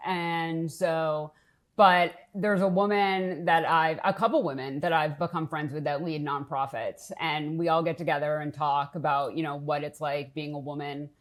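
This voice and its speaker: Low-voiced woman from Boston, candid. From Boston area, US. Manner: candid, self-deprecating, upbeat. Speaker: nonprofit CEO, guest. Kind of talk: casual interview talk.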